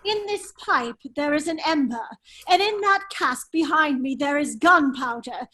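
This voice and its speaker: A foppish nobleman adventurer, played by a woman in a radio drama table read. The voice is very high and unhurried. The manner is teasing and sly, with little stammers and chuckles. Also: pushed and bright. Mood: playful menace. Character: foppish, laughing English nobleman, a cunning hero in disguise. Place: remote virtual table read.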